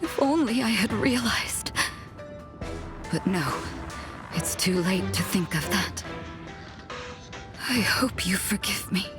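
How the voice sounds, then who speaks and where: An actress playing an elegant, regretful motherly villainess in a game cutscene, weakened and dying, speaking in a measured, wistful way. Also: mid-range voice, lively intonation. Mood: weak, regretful. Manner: slow, wistful, measured delivery. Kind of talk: dramatic game cutscene acting. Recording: voice over loud background music.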